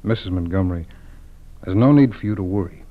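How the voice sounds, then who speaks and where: A very thin, band-limited vintage radio recording carries an actor playing a male FBI agent. His voice is fairly low, calm and businesslike, working through his words methodically in 1940s radio style. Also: narrow, tinny audio, close to an everyday voice. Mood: reassuring. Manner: measured, methodical questioning, reassuring.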